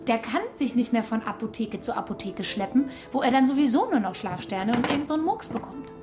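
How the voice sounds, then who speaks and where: A woman's voice: fairly high pitch, expressive, fast, music in the background, natural voice. A woman playing a cool, scheming thirty-something. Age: 30s. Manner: blunt, offhand. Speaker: librarian. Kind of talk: radio drama acting.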